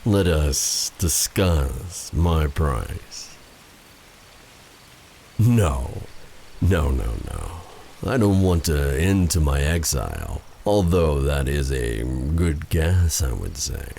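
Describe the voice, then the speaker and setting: Very deep, menacing dark sorcerer. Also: male voice, big pitch swings, creaky, slight background noise, full character voice. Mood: smug, teasing. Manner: taunting, theatrical, drawn-out delivery with purred endearments. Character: vengeful, silky, menacing male exiled dark sorcerer. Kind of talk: ASMR fantasy audio roleplay.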